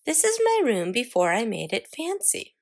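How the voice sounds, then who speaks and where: A woman voicing an excitable little girl who adores fancy things, in a dramatic storybook reading. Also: fairly high pitch, moderate pace, creaky, full character voice. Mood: proud, eager. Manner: dramatic, exclaiming, storybook delivery.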